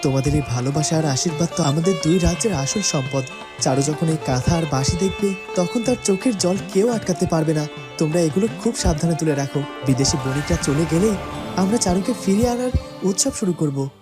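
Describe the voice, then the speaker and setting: A woman's voice: deep voice, expressive, brisk, music in the background. A woman playing a dutiful, kind young prince in a cartoon fairytale. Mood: admiring, kind. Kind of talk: cartoon character acting.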